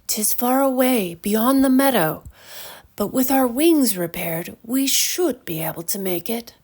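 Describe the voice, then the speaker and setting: A woman voicing a tiny, kind storybook fairy with a soft, musical, earnest way of speaking. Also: a little high, expressive, unhurried. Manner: gentle, earnest, storybook phrasing.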